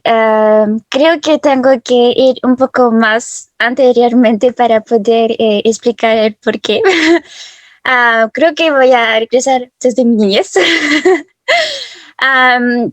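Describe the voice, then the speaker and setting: Young woman from France, slow unhurried pace, dry close sound, halting with many fillers. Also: on the high side, no room sound. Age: 20s. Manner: hesitant, many fillers and soft laughs. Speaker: interview guest. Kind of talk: personal testimony.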